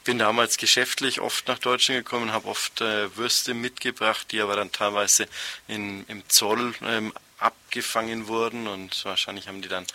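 Zögerlich erzählender Mann mit sehr heller, tieferer Stimme. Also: male voice, lowish voice, little pitch movement, pushed and bright. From Nuremberg, Germany. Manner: hesitant, halting, frequent filled pauses. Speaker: interview guest, restaurant co-founder. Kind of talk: spontaneous interview storytelling. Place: radio studio.

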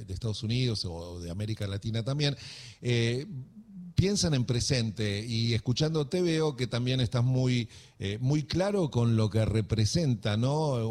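Halting Argentine radio host. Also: male voice, mid-range voice, darkish tone. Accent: Argentine Spanish. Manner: halting, full of fillers. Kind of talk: radio interview.

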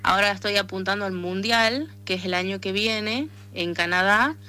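Female athlete interviewee, northern Argentine accent, bright tone, mid pitch, conversational. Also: moderate pace, smooth. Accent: northern Argentine, Tucumán. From Tucumán, northern Argentina. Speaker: interviewed athlete, arm wrestler and association president. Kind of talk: conversational radio interview answers.

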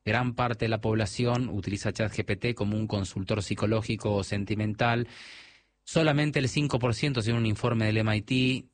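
A man's voice: lowish voice, steady tone, moderate pace, no echo. Argentine man explaining, dry sound. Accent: mild Argentine. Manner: explanatory, with frequent restarts. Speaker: technology guest. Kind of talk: radio interview.

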